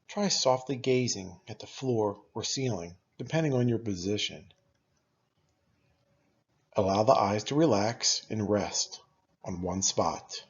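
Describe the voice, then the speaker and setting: A man leading a guided meditation as a teacher, speaking in a calm, unhurried, instructional way with long pauses. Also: mid-range voice, creaky, slightly rough, highs cut off.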